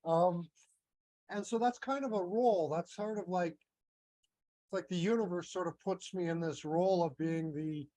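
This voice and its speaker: A man's voice: high-pitched, unhurried, dark tone, no echo. A man with a Canadian accent giving a casual, meandering talk, thinking aloud with many fillers and restarts. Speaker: astrology teacher.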